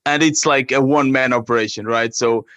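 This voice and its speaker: A man from Portugal with a Portuguese accent, explaining his work haltingly as a guest in a conversational interview. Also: medium pitch, steady tone, a bit bright, dry recording. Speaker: app creator, podcast guest.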